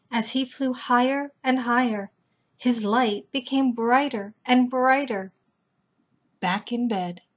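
A middle-aged woman narrates a children's picture book as a storytime host. Her voice is fairly high and husky, and she reads slowly and gently. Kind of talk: children's read-aloud narration.

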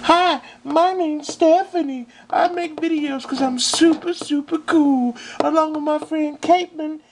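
A man voicing a giggly, vain young woman in a toy figure parody play, in a high, bubbly, breathless falsetto. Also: fairly even intonation, a little slow, slight background noise.

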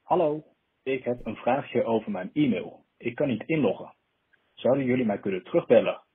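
A man's voice: mid-range voice, animated, moderate pace, breathy edge. A man speaking as a caller in a phone message, heard through muffled phone-like playback.

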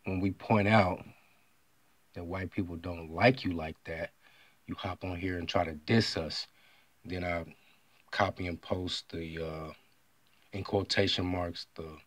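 A man from the United States talking straight to his audience about an online argument. He speaks emphatically and often clears his throat. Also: low voice, steady tone, measured pace, long pauses, a bit bright, dry recording. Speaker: vlogger. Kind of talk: monologue retelling an online argument.

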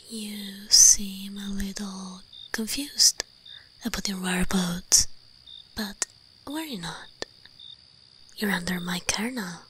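A woman voicing an imperious, teasing vampire queen in a breathy ASMR roleplay whisper, commanding, condescending and seductive. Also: medium pitch, pushed and bright, a little hiss or hum, rough recording. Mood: haughty, reassuring.

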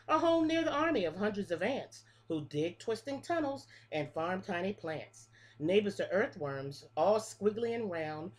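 A middle-aged woman reading a picture book aloud to preschool children in a sing-song rhythm, stopping to explain things, recorded close on a webcam in a small room. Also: on the low side. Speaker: pre-K teacher. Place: small room with a classroom wall display. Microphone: webcam at close range.

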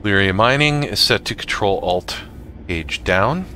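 A man narrating a casual software tutorial for gamers in a halting way, often pausing mid-sentence. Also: lowish voice, animated, slight background noise. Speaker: gamer making a tutorial video.

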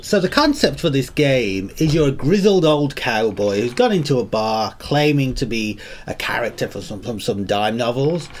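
A man narrating his own gameplay in a chatty, enthusiastic, rambling way. His voice is a little croaky, as if under the weather, and his pitch swings widely. Faint background noise sits under the desk-mic recording. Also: animated, moderate pace, few pauses. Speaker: gameplay commentator. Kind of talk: casual gameplay commentary.

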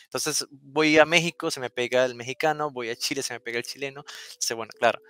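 A man's voice: mid-range voice, fairly even intonation, quick pace, a bit bright, smooth, no echo. Venezuelan man with Argentine-tinged Spanish. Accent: Venezuelan Spanish with an Argentine lilt. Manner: chatty, rambling with frequent restarts. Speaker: guest. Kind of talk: casual interview chat. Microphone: headset.

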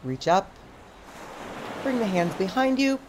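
A middle-aged woman teaching a stretching class outdoors on a sandy beach, calmly cueing each movement step by step. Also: medium pitch, takes it slowly. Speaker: stretch instructor. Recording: outdoor surf noise behind the voice. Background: ocean waves.

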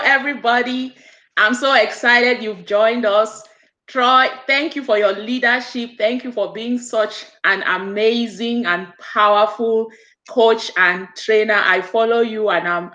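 A woman's voice: fairly high pitch, fairly even intonation, measured pace, pushed and bright, lo-fi sound. A woman in her forties from Cameroon, a business coach and author, giving an earnest, motivational account of herself as a guest on a video call. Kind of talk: interview guest telling her story. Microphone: webcam on a video call. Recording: echoey room over a video call.

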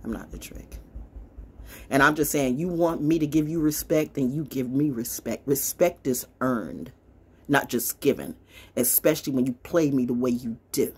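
An older woman vlogger ranting casually to camera in a brash, theatrical, confrontational way, with a husky voice, recorded on a phone in a small room. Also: rumbling low, normal speed. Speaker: online vlogger. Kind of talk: casual rant to camera with card reading. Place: small wood-panelled room indoors. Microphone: phone at arm's length.

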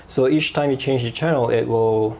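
A man's voice: mid-range voice, vocal fry, darkish tone. Hesitant man reviewing a gadget. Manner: halting, many fillers and restarts. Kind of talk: product review voice-over.

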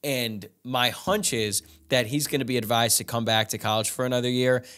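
Young guy podcasting about football, chatty, lots of uh and like, mid-pitch voice. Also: fairly bright tone. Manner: casual, conversational, many "uh" and "like" fillers. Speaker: podcast host. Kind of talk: solo postgame sports analysis. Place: small room, indoors. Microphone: studio microphone in front of him.

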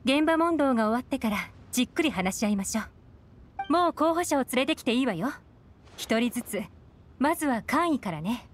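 A woman's voice: high voice, animated, fairly quick, slight background noise. An actress playing a composed, shrewd young female inspector in a Japanese fantasy video game, cool and poised. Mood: cool, confident. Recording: music behind the voice.

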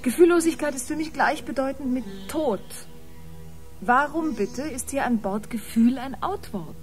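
A woman's voice: sits high, moderate pace, faint music behind, lightly acted. An actress playing an emotional, wondering female biomusician on a Mars voyage, speaking expressively in a science-fiction radio drama. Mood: impassioned.

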